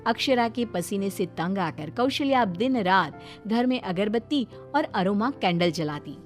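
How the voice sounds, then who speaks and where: A woman's voice: medium pitch, lively intonation, unhurried, soft background music. A woman reading scripted narration for a cartoon story, as its storyteller.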